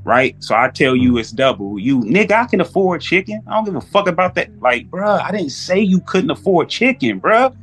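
A man's voice: fairly high pitch, very animated, moderate pace, few pauses, fairly bright tone. A man chatting casually as a podcast guest, telling anecdotes in slangy speech and acting out both sides of exchanges.

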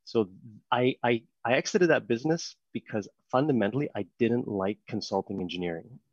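A man in his thirties from Montreal with a French Canadian accent, whose first language is French, telling his story as a podcast guest with relaxed ums and restarts. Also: medium pitch, brisk, dry recording, dull audio. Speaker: podcast guest, company co-founder. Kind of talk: casual interview talk.

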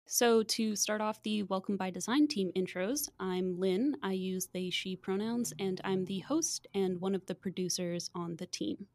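A woman's voice: medium pitch, unhurried, hardly any pauses, darkish tone. A woman hosting a podcast, delivering scripted narration in a studio. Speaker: podcast host and producer.

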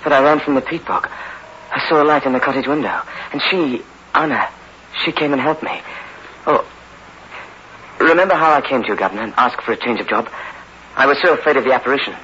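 An actor playing a haunted, insistent wounded convict, halting and earnest, in a 1960s radio drama. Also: male voice, medium pitch, sharp tone, natural voice. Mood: pleading, insistent. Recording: dull, hissy old radio recording.

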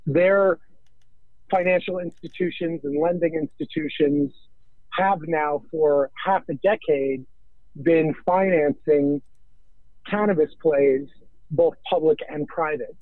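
A man from New York with an American accent, answering interview questions on business in a measured, deliberate way with halting pauses. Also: a little high, no room sound. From New York, United States. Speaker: interview guest, business executive. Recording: thin phone-like line.

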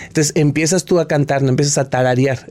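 A man's voice: fairly high pitch, steady tone, fast talker, darkish tone. A man, a guest expert answering interview questions in an explanatory way, often restarting his sentences.

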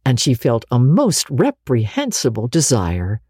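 A woman's voice: extremely low pitch, wildly swooping pitch, unhurried, a little mellow, slightly rough, no room sound, studio quality. A woman narrating a classic novel as a dramatic reading. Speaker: audiobook narrator.

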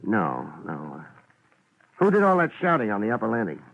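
An actor playing a wisecracking, world-weary private investigator, narrating and trading lines in a dry, laid-back deadpan in a hard-boiled radio drama. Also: male voice, fairly low pitch, normal speed, gravelly, full character voice. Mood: wry, laid-back. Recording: muffled, noisy 1950s radio broadcast.

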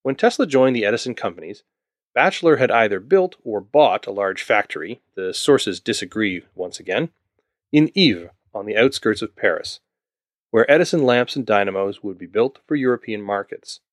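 A man's voice: lowish voice, lively intonation, normal speed, no room sound, studio quality. A man from Ontario narrating a scripted history podcast, with wry asides to the listener. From Ontario, Canada. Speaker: podcast host.

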